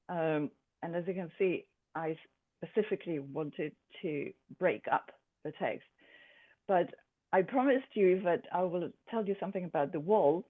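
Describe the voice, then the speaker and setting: A speaker born in Poland, an artist presenting her own work in a thoughtful, halting way with many pauses and fillers. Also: mid-range voice. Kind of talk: art presentation to a group. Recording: tinny phone-like sound.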